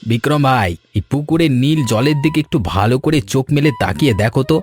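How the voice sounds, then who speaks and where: A man voicing an enthusiastic young village son-in-law in an animated folk tale, earnest and emphatic. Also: mid-range voice, quick pace, soft background music, natural voice.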